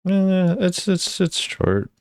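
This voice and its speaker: A man's voice: sits high, sing-song, slow, a little mellow, smooth, dry recording, studio quality. A man giving offhand, casual commentary as a video creator, musing conversationally as he rates things. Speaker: video creator ranking game voice lines.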